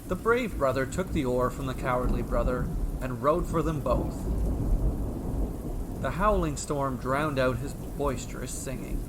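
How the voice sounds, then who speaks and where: A man narrating a scripted myth as a storyteller, with measured, theatrical and deliberate phrasing. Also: mid-range voice, background noise.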